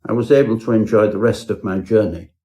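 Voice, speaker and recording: A man's voice: lowish voice, fairly quick, dark tone, lightly acted. A man playing a pompous Edwardian professor, narrating his own parody ghost story in a florid, melodramatic way. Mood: pompous, rueful.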